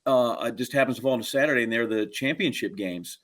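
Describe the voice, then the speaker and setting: A man's voice: medium pitch, fast. A man co-hosting a casual sports conversation, telling stories in a rambling, digressive way. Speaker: co-host, sports broadcaster.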